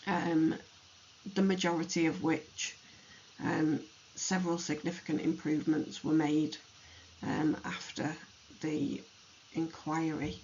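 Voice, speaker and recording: Gravelly, slow-speaking podcast host. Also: mid-range voice, level tone, a little mellow, dull audio, slight background noise. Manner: slow, thoughtful, with many pauses and ums. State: gravelly, hoarse from allergies. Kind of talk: educational true-crime narration.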